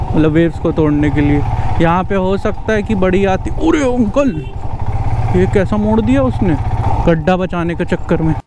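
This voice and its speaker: A young man giving casual, excited riding commentary as a motovlogger, exclaiming at the sights from a moving motorbike. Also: high-pitched, moderate pace. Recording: loud road and wind noise.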